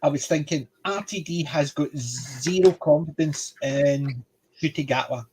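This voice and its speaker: A man's voice: on the high side, unhurried, darkish tone, a little hiss or hum, low-quality recording. A Scottish man hosting a casual panel chat, chatty and opinionated, reading facts aloud. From Scotland.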